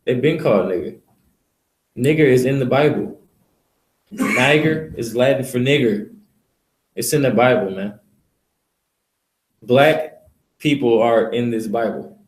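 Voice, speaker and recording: A man's voice: mid-range voice, measured pace, long pauses. Man, casual preacher, blunt and profane, lots of fillers; roomy echo. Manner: blunt, rambling, profane, repeats "you know". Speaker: street preacher leading a teaching session. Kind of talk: casual preaching to a small group. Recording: echoey room.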